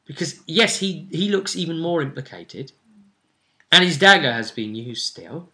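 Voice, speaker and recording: A man's voice: on the high side, lively intonation, measured pace, bright, forceful tone. A man acting as game master, casually recapping the story of a tabletop role-playing game.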